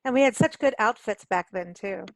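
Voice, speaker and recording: A woman's voice: mid-range voice, moderate pace, gravelly, dry recording, poor audio. A woman from Kenya hosting a casual radio interview, talking in a chatty, informal, joking way. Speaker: radio show host.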